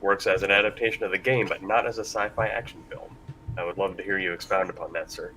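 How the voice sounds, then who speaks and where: A man's voice: deep voice, steady tone, quick pace, pushed and bright, rough recording. A man hosting a casual panel discussion in a rambling, self-deprecating way. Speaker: panel host. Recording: faint background noise.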